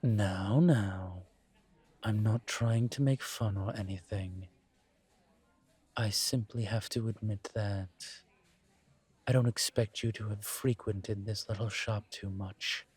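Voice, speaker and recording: A man voicing a suave, teasing incubus professor in an intimate audio roleplay, courteous and measured, with deliberate pauses. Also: fairly low pitch, darkish tone, husky. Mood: measured, gently wry. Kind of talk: intimate one-sided audio roleplay acting.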